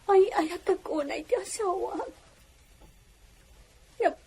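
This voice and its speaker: A woman's voice: extremely high pitch, takes it slowly, warm tone, slight background noise, heavily acted. A woman playing a long-suffering village wife, lamenting plaintively in a melodramatic Ilocano radio drama.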